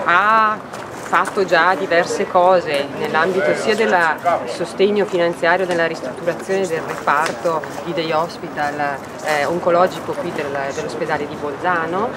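A woman's voice: fairly low pitch, fairly even intonation, unhurried, hardly any pauses. A woman, a charity board member answering an interview in a composed, informative way. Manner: composed, informative, some hesitations. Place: outdoors by a fishing lake. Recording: outdoors with a crowd behind.

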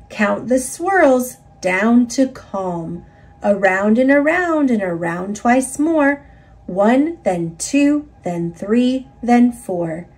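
Female storybook reader, slightly husky, very animated intonation, teaching young children. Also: a little high, low-quality recording. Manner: expressive, instructive delivery for young children. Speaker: picture book narrator. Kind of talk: read-aloud children's storytelling.

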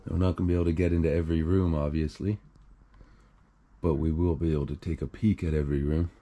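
A man's voice: a bass voice, level tone, fast talker, warm tone, slight background noise. A man hosting a casual exploring vlog, talking to viewers as he walks around with an action camera. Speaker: host of an abandoned-places exploration channel. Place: on the move through a derelict property, RV, overgrown yard and house.

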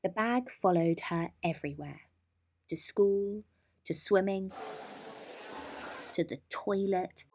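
A woman's voice: medium pitch, slow pace, long pauses, darkish tone, slight background noise. A woman storyteller narrating a children's bedtime story.

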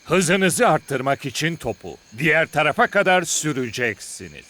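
A man's voice: fairly high pitch, very animated, moderate pace, bright, forceful tone. A man voicing a middle-aged, reclusive former football star turned demanding trainer in a cartoon dub, with a stern, emphatic delivery. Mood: instructing.

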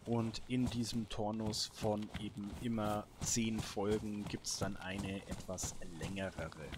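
A man's voice: lowish voice, fairly even intonation, slow, noisy background. A man giving casual, improvised gameplay commentary, thinking aloud in short muttered remarks. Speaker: gaming let's player.

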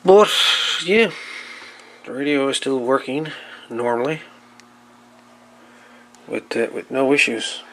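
Gravelly-voiced man narrating hands-on repair. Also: mid-range voice, lively intonation, slow pace, long pauses. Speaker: hobbyist host of radio repair videos. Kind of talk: casual unscripted narration of a repair. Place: indoor workbench. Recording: noisy home-camera audio with clicks.

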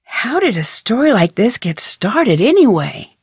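A woman's voice: a little high, big pitch swings, a little slow, darkish tone, husky, no echo, clean studio sound, heavily acted. A young woman voicing a friendly, curious, plucky amateur detective in a video game, with earnest, conversational delivery. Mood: thoughtful, curious.

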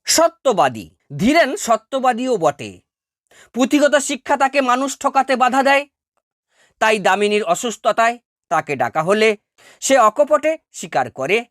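A woman's voice: a little high, sing-song, a little slow, dry recording. Woman, educational narrator, formal and emphatic delivery, strongly varied intonation, careful phrasing, very dry recording. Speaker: teacher presenting exam answers to twelfth-grade students. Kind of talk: scripted educational narration, read-out essay.

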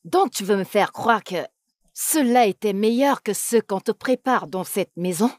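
A woman's voice: mid-range voice, lively intonation, measured pace, darkish tone, no room sound, clean studio sound, natural voice. A woman dubbing a sarcastic, scolding wealthy mother in a film. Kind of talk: French film dub.

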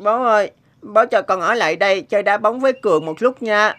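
Woman as a bright-voiced, timid little boy. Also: medium pitch, a little fast, natural voice. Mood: pleading. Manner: hesitant, pleading. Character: timid, weary gifted little schoolboy. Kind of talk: cartoon voice acting.

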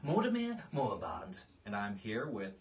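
A man in his thirties hosting a relaxed, conversational interview in a small room, picked up by a distant mic. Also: on the low side, normal speed. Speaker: podcast host and writer. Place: small indoor room, seated in armchairs. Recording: distant room mic, audible background noise.

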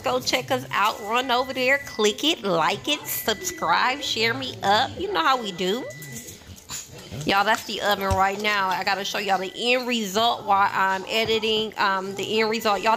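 A woman chatting casually through a home cook-along in her kitchen, playful and talkative. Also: on the high side. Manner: chatty, playful, says y'all a lot. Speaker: cooking vlogger.

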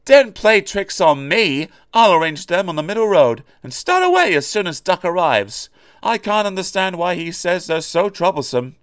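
A man's voice: sits high, wildly swooping pitch, normal speed, a bit bright, no room sound, clean studio sound, voice pushed up, full character voice. A man voicing a proud, cocky little male tank engine in a storybook reading. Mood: boastful. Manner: boastful, chirpy.

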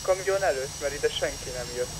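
A man with a somewhat high voice chats casually during an online game. He sounds like another player on voice chat, with clear vehicle noise in the recording.

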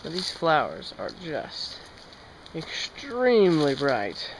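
A young man with a slightly high voice talks his way along an outdoor trail for his travel vlog. He muses out loud and drops wry asides, recorded on the camera's own mic. Steady outdoor noise sits under his voice. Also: takes it slowly. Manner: chatty, musing, wry asides. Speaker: travel vlogger. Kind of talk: casual first-person travel narration. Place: outdoors on an overgrown trail and railway line. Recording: outdoor camera audio with clear ambient noise.

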